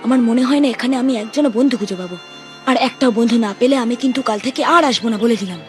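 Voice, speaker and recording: A woman's voice: on the high side, racing, faint music behind, lightly acted. A woman voicing a frank, worried young schoolboy, a little brother in a cartoon, candid and fretful. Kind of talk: cartoon acting.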